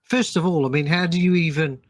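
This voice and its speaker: A man hosting a casual podcast, asking questions in a halting, awed way with repeated false starts. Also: fairly high pitch, steady tone, no room sound, clean studio sound. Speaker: podcast host. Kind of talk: casual chat, interviewing.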